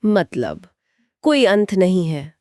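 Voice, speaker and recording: A woman's voice: on the low side, sing-song, takes it slowly, dark tone, dry recording. Woman retelling a story, dry studio-like sound. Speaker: co-host retelling a short story. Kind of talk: scripted narration in a two-person discussion.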